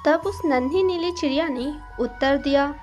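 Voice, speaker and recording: A woman's voice: high voice, normal speed, a little mellow, faint music behind. A woman narrating a scripted moral story for children as a storyteller.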